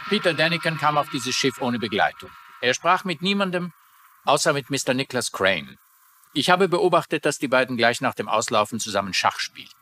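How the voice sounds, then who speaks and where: A man's voice: medium pitch, animated, a little fast, sharp tone, a little hiss or hum. A man playing a meddlesome, smug amateur sleuth, insistent and theatrical, in a dubbed crime drama.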